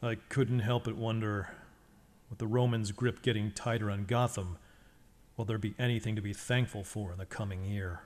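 A man's voice: on the low side, steady tone, normal speed, darkish tone, natural voice. A man playing a stern, brooding vigilante detective in a radio noir, with terse delivery. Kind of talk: noir first-person narration.

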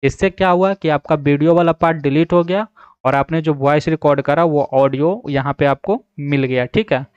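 Higher-pitched man giving a clear tutorial. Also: moderate pace, no room sound. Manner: repetitive verbal check-ins, instructional. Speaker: tutorial host. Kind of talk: step-by-step app tutorial narration. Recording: slightly dull, dry voice-over.

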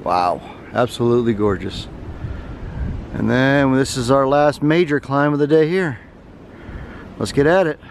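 A man's voice: mid-range voice, unhurried, pauses often, a little hiss or hum. A man, chatting casually to the camera on a mountain trail. He talks in an easygoing, folksy way and sounds tired. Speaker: thru-hiker vlogger. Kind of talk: casual trail vlog narration. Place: outdoors on a wooded mountain trail. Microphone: handheld camera at arm's length.

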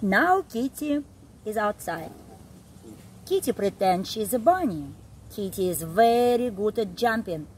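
Expressive, slow-reading middle-aged woman. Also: medium pitch, slight background noise. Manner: expressive, deliberate read-aloud delivery with simple emphasized phrases. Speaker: early childhood storyteller. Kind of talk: reading a picture book aloud to young children. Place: outdoor backyard, seated on a garden seat.

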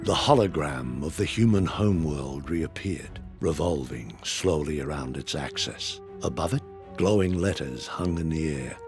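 A man with a low voice narrates an audio drama. His delivery is scripted, dramatic storytelling, with music clearly playing underneath. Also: measured pace.

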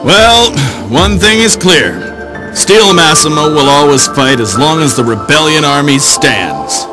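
An actor playing a confident, steady robot rogue in a video game. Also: male voice, a little high, slow, over music. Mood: resolute.

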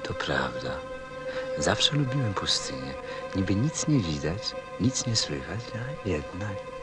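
A man's voice: low-pitched, sing-song, slow pace, music in the background, heavily acted. An actor playing a tender, thoughtful pilot in a radio play, a grown man speaking with reflective feeling. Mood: quiet, reflective. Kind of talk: radio play acting and first-person narration.

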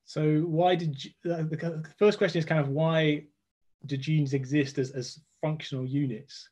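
A man's voice: on the high side, fairly even intonation, moderate pace, darkish tone, husky. A man in his forties, a guest scientist on a video call from his home, explaining step by step with frequent restarts. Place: home room with red walls.

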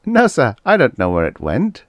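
A man voicing a mischievous, cocky boy with a bright, defiant tone. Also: medium pitch, wildly swooping pitch, normal speed, dry recording, voice pushed up. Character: mischievous boy with a dangerous streak.

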